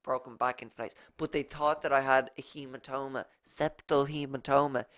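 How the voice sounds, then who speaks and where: A man's voice: mid-range voice, level tone, normal speed, a bit bright, no echo, clean studio sound. A young Irish man in his twenties with a nasal voice, telling a rambling story in a casual podcast chat. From Ireland. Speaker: podcast co-host.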